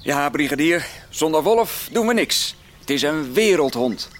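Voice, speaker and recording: A man's voice: fairly high pitch, expressive, unhurried, very noisy. A male actor in a Dutch radio play playing a level-headed teenage boy detective. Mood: proud.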